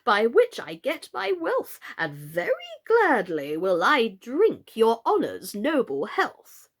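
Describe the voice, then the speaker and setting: Woman putting on a halting, doddering old knight, swooping pitch. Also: wildly swooping pitch, heavily acted. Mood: whimsical, singsong. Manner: halting, earnest, theatrical delivery.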